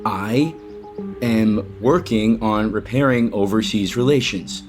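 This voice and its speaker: A man's voice: lowish voice, takes it slowly, music in the background. A man acting in an audio soap opera as a defensive, sarcastic younger brother who runs the family company and drinks too much. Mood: irritated, weary. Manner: irritable, sarcastic.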